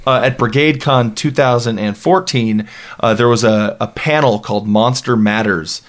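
A man's voice: mid-range voice, moderate pace. A man in his late twenties hosting a gaming podcast, chatting casually with lots of "you know" and laughter. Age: 20s. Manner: animated, laughs often, many fillers. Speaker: podcast host. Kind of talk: casual chat.

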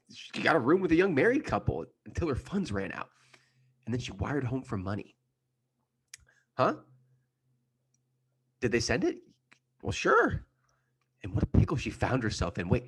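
Man playing a gossipy, animated switchboard operator. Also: mid-range voice, slightly rough. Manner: gossipy, breaking off to take calls. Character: chatty, gossipy telephone operator telling a story. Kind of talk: old-time radio play acting.

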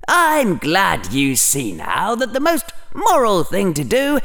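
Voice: medium pitch, huge pitch swings, slow, a bit bright, voice pushed up, heavily acted. A narrator voicing a sly, grimly persuasive inner voice of a boy, with mock-logical, theatrical delivery in a storybook reading. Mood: gloating.

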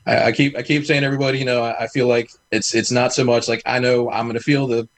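A man's voice: mid-range voice, flat delivery, quick pace. Rambling man in his late thirties. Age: 30s. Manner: rambling, with many restarts. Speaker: podcast co-host. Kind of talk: casual podcast chat.

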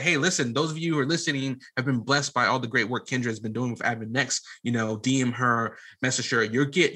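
A man with a bright, forceful voice at a middle pitch talks as a podcast guest. He is conversational and opinionated, leaning on repeated words for emphasis. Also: slightly rough. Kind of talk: casual conversational interview.

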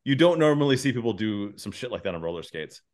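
A man's voice: on the low side, big pitch swings, fast talker, a little mellow. A man hosting a podcast interview, chatting in an informal, effusive way. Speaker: podcast host. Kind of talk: casual interview chat.

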